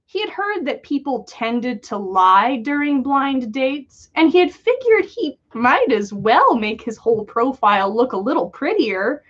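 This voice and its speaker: A woman's voice: high voice, highs cut off. A woman narrating a comic story aloud as a podcast host.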